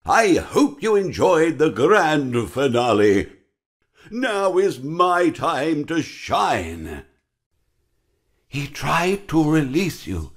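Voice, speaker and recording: A man voicing a husky showman elephant robot. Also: on the high side, big pitch swings, drawn-out words, heavily acted. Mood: showy. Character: theatrical male elephant animatronic, possessive.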